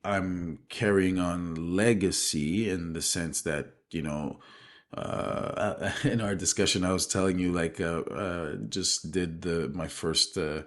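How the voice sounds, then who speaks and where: A man from Benin speaking reflectively in an interview as a guest, thoughtful and halting, often adding "you know". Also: low voice, fairly even intonation, a bit bright. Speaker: guest, street dancer and choreographer.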